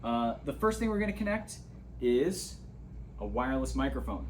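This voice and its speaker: A man's voice: medium pitch, a little mellow, slight background noise. A man giving a casual, hands-on gear tutorial, explaining things step by step as an instructor.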